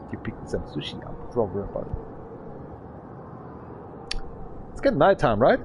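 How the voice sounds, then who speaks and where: A man's voice: mid-range voice, huge pitch swings, measured pace, darkish tone, a little hiss or hum. A man in his thirties streaming a game, rambling and swearing in a frustrated way. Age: 30s. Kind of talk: casual gaming stream chat.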